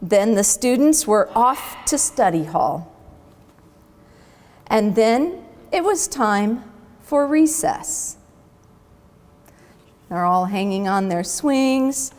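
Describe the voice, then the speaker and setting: A woman's voice: on the high side, sing-song, drawn-out words, pauses often, darkish tone. A woman working as a zoo educator, presenting a children's storytime in a reverberant barn. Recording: long echoing hall reverb.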